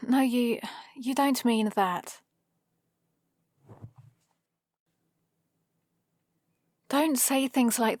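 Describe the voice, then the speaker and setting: A female supervillain played by a woman with a British accent and a fairly high voice. She speaks very slowly, hesitant and defensive, with a soft exasperation that betrays her care for the hero. It is an ASMR roleplay, recorded dry with no room sound. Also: no room sound. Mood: vulnerable.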